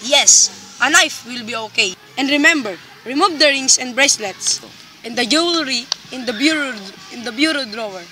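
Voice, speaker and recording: Actress as methodical, ruthless gang boss in radio drama; high, very bright, commanding voice. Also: female voice, normal speed. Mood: cold, commanding. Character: cold-blooded crime boss ordering a murder. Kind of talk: amateur radio play acting.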